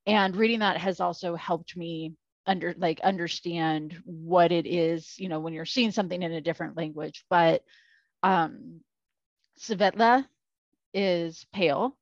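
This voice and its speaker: A woman's voice: on the low side, fairly even intonation, unhurried, no room sound, muffled recording. A woman co-hosting a podcast in casual chat, rambling with lots of fillers. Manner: rambling, filler-heavy, self-deprecating. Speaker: podcast co-host.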